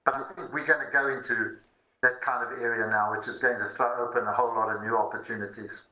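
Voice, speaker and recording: A man's voice: medium pitch, steady tone, quick pace, sharp tone. Confident man in an echoey room. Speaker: market commentator. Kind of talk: business TV commentary.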